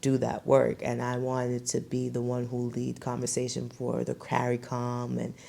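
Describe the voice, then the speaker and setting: A young woman from Brooklyn hosting a podcast, telling stories in a loose, animated way with lots of fillers. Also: a bass voice, moderate pace, gravelly. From Brooklyn, New York. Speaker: podcast host. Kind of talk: casual podcast chat.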